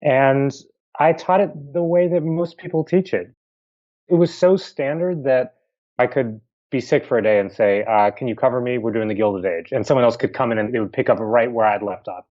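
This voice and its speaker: A man's voice: mid-range voice, normal speed, a little mellow, dull audio. A middle-aged man with a Pennsylvania accent, a historian answering interview questions in a thoughtful, explanatory way with informal asides. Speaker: historian guest.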